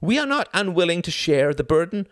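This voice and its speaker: A man playing a frantic bald politician, high strained pleading voice, loud, wide swings; paid desperation. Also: very animated, a little fast, dry recording, clean studio sound. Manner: desperate, overwrought speechifying.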